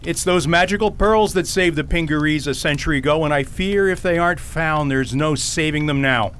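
Eccentric wordy king male actor, higher register, grandiose fantasy radio theater style, noisy recording. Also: brisk, fairly bright tone, close to an everyday voice. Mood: earnest, self-important. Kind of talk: fantasy radio drama acting.

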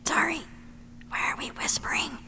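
A woman's voice: moderate pace, whispered, lightly acted. A woman playing an eager, inquisitive female android detective sidekick in a radio drama. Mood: whispered, curious. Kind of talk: scripted radio drama acting.

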